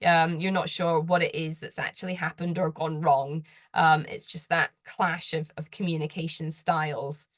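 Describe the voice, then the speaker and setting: A woman's voice: sits low, level tone, normal speed, hardly any pauses, dry recording, clean studio sound. A woman presenting slides, talking earnestly in a conversational way and putting questions straight to the listener. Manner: gets louder when enthusiastic. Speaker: presenter giving advice.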